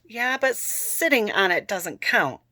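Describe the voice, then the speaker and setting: A woman's voice: mid-range voice, sing-song, slow, bright, forceful tone, full character voice. A woman voicing a prim, know-it-all little sister in a read-aloud story. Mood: tattling.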